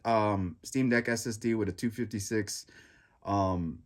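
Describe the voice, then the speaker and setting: A man's voice: fairly low pitch, a little slow. A man with a congested, stuffy nose giving a casual, rambling reaction review of a gadget, full of fillers and restarts. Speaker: tech reviewer.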